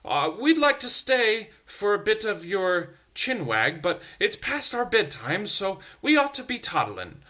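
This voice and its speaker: A jolly British gentleman mouse from a storybook, voiced by a man, pitched a bit high and bright. He is chummy and ingratiating, with small polite hesitations. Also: sing-song, a little slow, few pauses, exaggerated voice. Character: chummy male church mouse, old-fashioned British chap.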